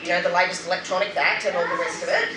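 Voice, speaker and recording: A woman's voice: fairly low pitch, flat delivery, very fast, a crowd in the background. A middle-aged woman with an Australian accent giving a candid, emphatic personal testimony with wry humour in a public speech. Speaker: guest speaker, anti-poverty group member sharing her story. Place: roomy venue at a public event. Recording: roomy, noisy, distant event microphone.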